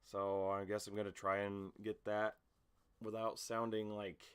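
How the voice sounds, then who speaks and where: Casting: male amateur voice actor, slightly low clear voice, informal vlog talk, self-conscious and meandering. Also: little pitch movement, a little slow, a bit bright. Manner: hesitant, rambling, self-conscious. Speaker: amateur voice actor recording an audition video. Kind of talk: casual vlog chat. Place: small home room with a mattress against the door.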